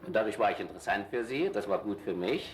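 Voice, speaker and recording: A man's voice: lowish voice, animated, quick pace, slight background noise. An older man, born in Shanghai, telling his own story chattily, with hesitations and self-corrections. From born in Shanghai, later lived in Germany. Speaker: interviewee. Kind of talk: casual reminiscing.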